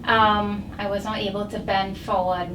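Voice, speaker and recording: A woman from Barbados with a Barbadian accent, speaking as a patient recounting her medical history in halting short phrases. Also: medium pitch, flat delivery. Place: indoor chiropractic clinic. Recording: echoey noisy room, distant mic.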